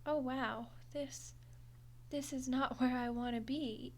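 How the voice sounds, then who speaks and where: A woman's voice: sits high, fairly even intonation, slow, darkish tone. A woman voicing a friendly, awkward undead skeleton girl in an audio roleplay, chatty and hesitant with a wry, defensive streak. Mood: startled, dazed. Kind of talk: audio roleplay monologue to the listener.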